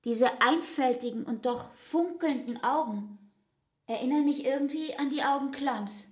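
A troubled young lover in a literary radio play. Her voice sits fairly high, and she speaks with intensity in short, halting phrases, devoted and uneasy. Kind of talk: literary radio drama acting.

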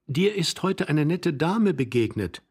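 A man's voice: fairly high pitch, sing-song, measured pace, darkish tone, husky, pitched above the performer's own voice, exaggerated voice. A man voicing an old man's young wife in an audiobook, coaxing and easily bored. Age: young adult. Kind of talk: audiobook character voice.

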